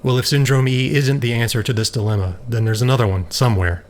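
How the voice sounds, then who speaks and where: A man acting in a science fiction audio drama as a grave, troubled scientist and proud elder brother, speaking in measured, earnest, formal phrases. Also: mid-range voice, steady tone, lightly acted. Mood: troubled, defensive. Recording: faint background noise.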